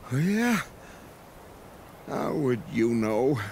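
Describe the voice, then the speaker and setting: An actor playing a grumpy, sarcastic male sheep who grumbles and complains in an animated film. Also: medium pitch, extremely slow, a little hiss or hum, heavily acted. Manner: sarcastic, grumbling, then panicked shouting.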